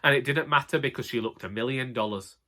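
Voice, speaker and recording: Northern english bloke reading a funny audiobook, wry and chatty, bright crisp-edged voice. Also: male voice, medium pitch, quick pace. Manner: wry, colloquial. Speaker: audiobook narrator. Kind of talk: comic first-person storytelling.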